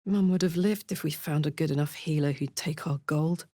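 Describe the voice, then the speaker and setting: A woman's voice: low voice, normal speed, dark tone, no room sound, studio quality. A woman voicing a brash, hot-blooded, big-hearted warrior woman in a video game, with an earthy, blunt, sweary and emotionally open manner. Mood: bitter, subdued. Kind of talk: video game character voice lines.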